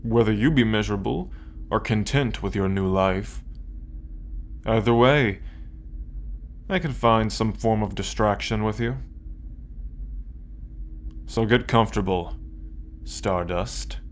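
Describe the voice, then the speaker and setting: An arrogant alien warlord acted by a man speaks in a low voice, very slowly and deliberately. He is commanding, condescending and possessive, in the intimate manner of an ASMR roleplay. Clear background noise and ambience sit behind him. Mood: possessive, amused. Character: arrogant possessive male alien military conqueror. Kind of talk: ASMR roleplay, scripted monologue to listener.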